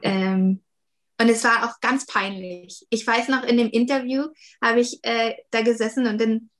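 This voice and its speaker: A woman's voice: a little high, fairly even intonation, unhurried. A woman in her thirties, a 3D artist talking casually in an interview, with lots of fillers and hedges. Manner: chatty, many fillers and hedges.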